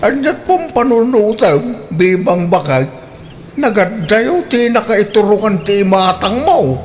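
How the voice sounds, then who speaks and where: Older father in a radio drama, high and animated, played by a man. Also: takes it slowly, music in the background, heavily acted. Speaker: father in the family. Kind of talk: Ilocano radio drama acting.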